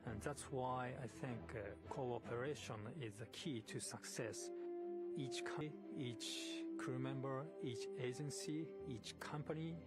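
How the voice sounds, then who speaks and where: A middle-aged man speaks in a measured, earnest way, leaning into slow, stretched phrases. His voice sits at an ordinary pitch for a man. A loud music bed plays under every word. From Japan. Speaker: astronaut. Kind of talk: prepared personal profile statement.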